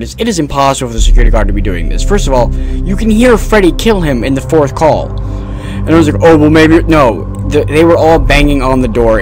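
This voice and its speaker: A man hosting a gaming video, giving casual, opinionated commentary in an emphatic, argumentative way. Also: medium pitch.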